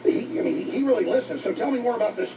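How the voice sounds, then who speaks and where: A gravelly man hosting a talk interview in an insistent, repetitive way, heard through radio playback. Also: very high voice, lively intonation, very fast, recorded from a distance. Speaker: talk show host interviewing a guest. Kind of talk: forceful broadcast interview. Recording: dull, noisy radio playback from off mic.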